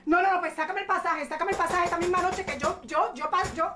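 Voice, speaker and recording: A woman's voice: very high voice, fairly quick, a bit bright, echoey room, slight background noise. A woman playing a sobbing, frantic young wife. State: crying. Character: distraught young wife of a shot man.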